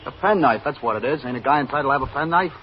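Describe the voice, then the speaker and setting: A man's voice: mid-range voice, expressive, close to an everyday voice. A man playing a defiant, wisecracking American small-time hoodlum, streetwise and testy, in an old-time radio crime drama. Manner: streetwise, testy, rapid denials. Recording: dull, noisy vintage radio recording.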